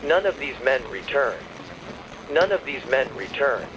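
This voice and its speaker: A man delivering a scripted emergency broadcast warning in a formal, measured, official announcer's manner. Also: mid-range voice, sing-song, noisy background. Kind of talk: scripted emergency alert narration.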